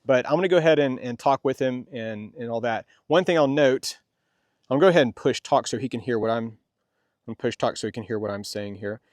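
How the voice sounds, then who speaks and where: A middle-aged man hosting a casual product review, talking in a halting, rambling way with repeated phrases. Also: mid-range voice, darkish tone, no echo. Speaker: host of a product review vlog. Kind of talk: casual unscripted product demonstration.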